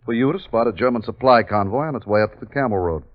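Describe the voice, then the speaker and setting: An actor playing a measured, authoritative army captain who narrates his wartime mission in a 1950s radio drama. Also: medium pitch, natural voice. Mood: briskly commanding. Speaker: narrator and commanding officer. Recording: dull 1950s radio recording with music.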